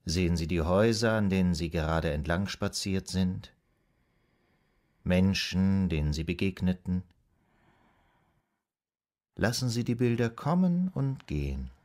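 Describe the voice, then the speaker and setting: Calm shrink in a radio play, deep voice, really slow hypnotic delivery. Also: male voice. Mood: hypnotic, guiding. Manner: measured, hypnotic, gently instructing. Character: calm, soothing male psychoanalyst guiding a patient. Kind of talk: German radio drama acting.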